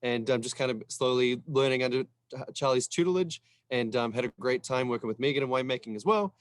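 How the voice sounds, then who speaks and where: A man's voice: medium pitch, a little fast, no room sound. A man from New Zealand with a New Zealand accent, talking casually as a winemaker introducing himself. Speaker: head winemaker.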